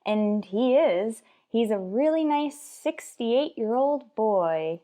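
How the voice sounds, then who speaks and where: An actress plays a sardonic woman schoolteacher in a radio sitcom. Her pitch swings with lively expression as she lands dry, sarcastic wisecracks in an old-time comic style. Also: slow. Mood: dry teasing. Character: wry, sardonic woman high school English teacher.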